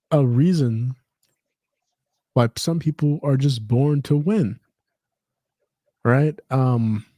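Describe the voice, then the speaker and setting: A man's voice: mid-range voice, dark tone, dry recording. A man hosting a casual podcast chat, rambling with lots of fillers and restarts. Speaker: podcast host.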